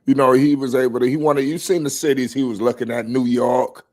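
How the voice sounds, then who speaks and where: A man with a voice of medium pitch hosts a casual sports discussion. His talk is slangy and conversational, and he tends to stammer at the start of a phrase before getting his point out. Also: steady tone, brisk, a little mellow. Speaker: sports stream host.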